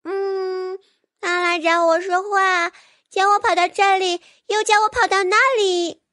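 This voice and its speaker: A woman's voice: very high voice, fairly even intonation, unhurried, sharp tone, no room sound, clean studio sound, pitched above the performer's own voice, heavily acted. A woman voicing a naive, sleepy, obedient baby magical creature in an audiobook, with a simple childlike manner. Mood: innocent, simple. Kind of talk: audiobook character voice.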